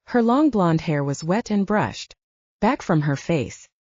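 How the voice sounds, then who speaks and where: A woman reading an audiobook, narrating fiction and its dialogue in an expressive manner. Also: lowish voice, measured pace, no echo, dull audio.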